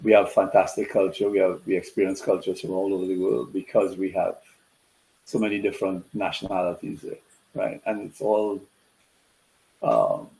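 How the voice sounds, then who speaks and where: A middle-aged man from Trinidad, a business consultant chatting as a guest on a video call in a relaxed, conversational way. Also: deep voice, moderate pace, creaky, poor audio. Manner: relaxed and conversational, many "you know" fillers. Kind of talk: casual interview chat over video call.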